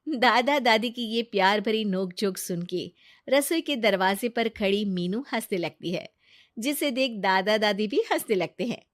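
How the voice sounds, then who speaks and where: A woman's voice: medium pitch, lively intonation, unhurried, no echo, clean studio sound. A woman narrating a family story in a scripted storybook style.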